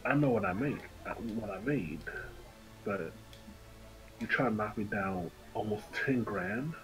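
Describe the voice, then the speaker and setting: A man's voice: fairly low pitch, sing-song, a little slow, faint music behind, low-quality recording. A man in a casual voice chat, speaking bluntly and with a griping manner.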